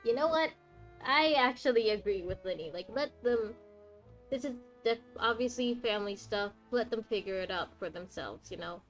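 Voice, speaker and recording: A woman's voice: a little high, expressive, normal speed. A woman giving casual live gameplay commentary, chatty and playful as she reacts aloud to the game. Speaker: game streamer. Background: game music.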